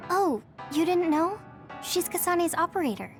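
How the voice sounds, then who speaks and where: Sweet young female teammate, video game cutscene, high-pitched, tentative, music underneath. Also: animated, unhurried, full character voice. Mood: concerned.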